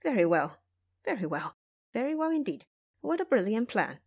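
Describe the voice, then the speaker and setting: Woman acting plaintive, greedy ancient monk, swooping pitch. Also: sing-song, moderate pace, breathy edge, full character voice. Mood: delighted, eager. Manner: plaintive, self-pitying. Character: very old greedy wailing male grandmaster monk.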